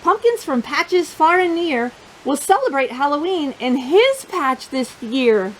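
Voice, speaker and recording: A woman hosting a children's storytime, reading a picture book in a playful, sing-song, expressive way and talking directly to young listeners. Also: high voice, a little slow, slight background noise, poor audio.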